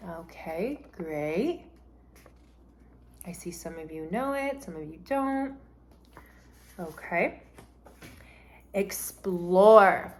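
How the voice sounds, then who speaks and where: A woman reads aloud as a teacher would to young pupils, with a lively, swooping intonation. Her pace is slow and deliberate, and her delivery is instructive, made for children following a storybook and learning new words. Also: fairly low pitch. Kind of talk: storybook read-aloud with vocabulary explanations.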